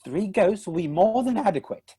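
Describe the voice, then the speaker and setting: A man's voice: high-pitched, expressive, moderate pace, mellow, husky, no echo, studio quality. A man playing an exasperated storyteller-author. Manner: exasperated, sighing. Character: exasperated male author narrating his own tale. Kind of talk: radio play narration.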